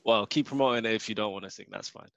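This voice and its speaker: A man's voice: fairly low pitch, racing, vocal fry, fairly bright tone, dry recording. A man hosting a podcast, talking conversationally and steering the chat with questions. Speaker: podcast host. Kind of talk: casual podcast interview. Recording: dull, dry lo-fi recording.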